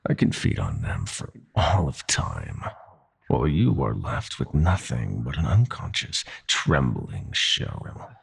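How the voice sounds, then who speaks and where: Bottomless, gravelly nightmare god. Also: male voice, big pitch swings, heavily acted. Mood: menacing gloating. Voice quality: very deep, gravelly, breathy. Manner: silky, mocking, theatrically slow threats. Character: menacing, gloating male god of nightmares. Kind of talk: roleplay audio drama acting.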